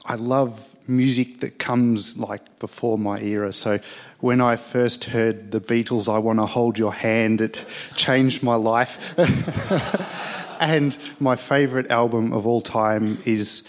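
A man's voice: medium pitch, fairly even intonation, slow. A man in his thirties, speaking hesitantly with many fillers as he shares his personal story on a church panel.

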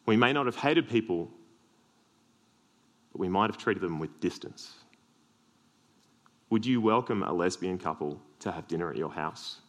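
An Australian man with a deep voice preaching a sermon, earnest with self-deprecating humorous asides. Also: animated, moderate pace, pauses often.

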